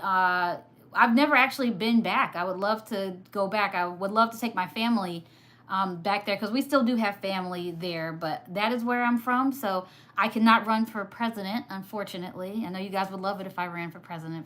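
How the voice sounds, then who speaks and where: Bright-voiced woman chatting on a live stream. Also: medium pitch, brisk. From Sudan. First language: Arabic. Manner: chatty, laughs often, teasing. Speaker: livestream host. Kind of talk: casual live chat reading comments.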